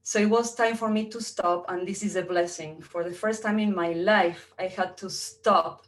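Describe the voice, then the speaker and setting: A woman's voice: on the low side, fairly even intonation, normal speed, low-quality recording. A middle-aged woman from Argentina, a native Spanish speaker with an Argentine accent, telling her personal story as a motivational guest speaker. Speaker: guest speaker, entrepreneur and coach.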